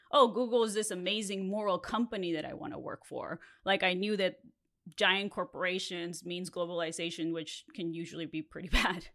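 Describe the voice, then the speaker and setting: Low-voiced candid woman podcast host. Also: pushed and bright. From Mexico. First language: Spanish. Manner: candid, laughs between phrases. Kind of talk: casual podcast storytelling.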